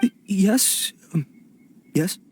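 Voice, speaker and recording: Jumpy, cowardly bandit, wildly swooping. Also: male voice, high-pitched, expressive, extremely slow, a little hiss or hum, heavily acted. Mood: meek. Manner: nervous, stammering, swinging from bluster to begging. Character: hapless amateur bandit, cowardly and pleading. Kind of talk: English video game voice acting.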